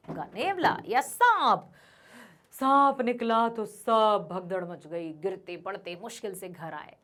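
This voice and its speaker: A woman's voice: a little high, fairly bright tone. A woman teacher going through multiple-choice exam questions. She reads out each set of options and then explains the answer to her students. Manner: addresses students as children. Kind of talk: exam revision lesson.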